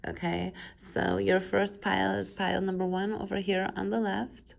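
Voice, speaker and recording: A woman's voice: mid-range voice, flat delivery, moderate pace, vocal fry. A woman with a cold, sounding stuffed up, giving a chatty tarot reading to camera with rambling asides. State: has a cold, stuffed up and coughing. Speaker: tarot reader. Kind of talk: casual pick-a-pile tarot reading to camera. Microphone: camera mic.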